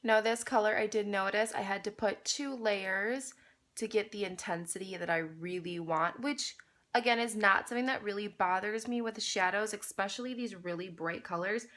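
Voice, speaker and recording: A young woman talks her viewers through a makeup look in easy, chatty tones, calling them "you guys." She is fighting a cold, so her voice comes out slightly nasal and congested. Even so, her tone stays bright and crisp at a middling pitch. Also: normal speed. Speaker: beauty vlogger. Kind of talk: casual makeup tutorial chat.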